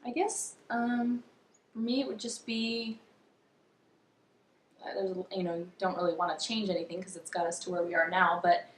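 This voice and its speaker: A woman in her thirties with an average-pitched voice talks in a casual, chatty way, like a mother on a family vlog. Her delivery is loose and giggly. The room adds a noticeable echo. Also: steady tone, moderate pace, long pauses, a little hiss or hum. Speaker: vlogger mother. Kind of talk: casual couple Q&A chat. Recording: roomy indoor echo.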